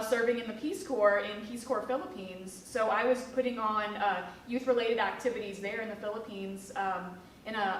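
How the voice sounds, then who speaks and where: A woman's voice: mid-range voice, a little hiss or hum. A young woman in her twenties from Kentucky, chatting casually in an interview with many fillers. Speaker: museum education specialist. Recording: echoey room from a distance.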